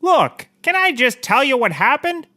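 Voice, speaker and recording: A man's voice: very high voice, very animated, unhurried, sharp tone, heavily acted. An actor playing a shameless, boozy private investigator in a scripted comedy, rambling and oblivious as he gives courtroom testimony. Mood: eager, rambling. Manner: rambling, casually confessing, oblivious.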